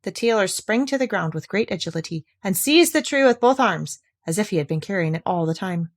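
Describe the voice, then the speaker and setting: A woman's voice: mid-range voice, expressive, a little fast. A woman narrating a classic fairy tale, reading aloud from the text as a storyteller.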